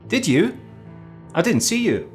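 A man voicing a brash, hearty young army officer, loud and impatient, with soft guitar music behind. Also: high-pitched, big pitch swings, a little slow, voice pushed up.

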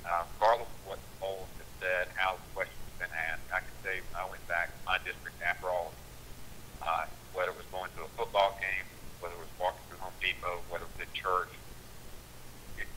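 A man's voice: sits low, fairly even intonation, brisk, sharp tone, a little hiss or hum, rough recording. A congressman from Georgia giving earnest, rallying political remarks over a telephone conference call. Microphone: telephone conference line.

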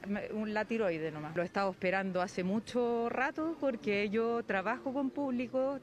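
A man in his forties chatting to a reporter, slightly halting. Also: high-pitched, a little hiss or hum. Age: 40s. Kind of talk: street interview.

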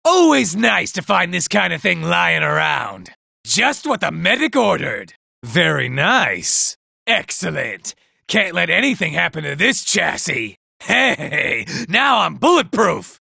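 A man's voice: high-pitched, very animated, slow pace, creaky, pushed and bright, no echo, heavily acted. An actor playing a vain, boastful male robot soldier who taunts enemies and admires his own looks, in a video game. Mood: pleased, smug. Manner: smug, self-admiring, taunting. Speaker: game character. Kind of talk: video game combat barks. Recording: dry studio recording.

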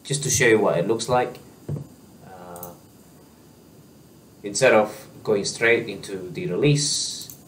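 A man in his thirties giving a screen-share software walkthrough as a tutorial presenter, explaining casually with frequent fillers and restarts from a small room at his desk. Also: fairly low pitch, slow pace, pauses often, recorded from a distance, slight background noise.

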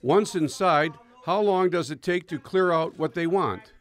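A man narrating a local news segment in an even, measured reporting style. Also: a little high.

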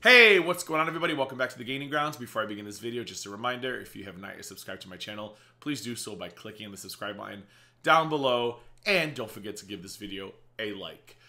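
Fast, bright-voiced man, a bit raspy. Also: fairly low pitch, lively intonation, soft background music. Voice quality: slightly raspy from a strained voice. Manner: chatty and sarcastic, quick asides. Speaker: reaction channel host. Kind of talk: casual reaction commentary. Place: small home office with bookshelves.

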